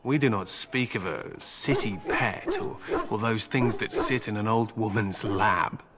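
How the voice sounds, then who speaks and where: A man's voice: lowish voice, measured pace, natural voice. An actor playing a stern, proud male warrior speaking curtly and solemnly in a fantasy video game. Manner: curt, solemn. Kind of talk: dramatic video game acting.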